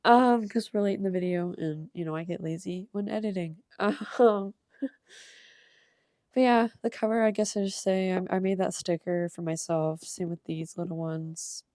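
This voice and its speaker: A young woman with a cold and a slightly hoarse voice, rambling casually with ums and soft laughs as she shows her art. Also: fairly low pitch, fairly even intonation, moderate pace, no echo, clean studio sound. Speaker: art channel creator. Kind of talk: casual sketchbook tour voice-over.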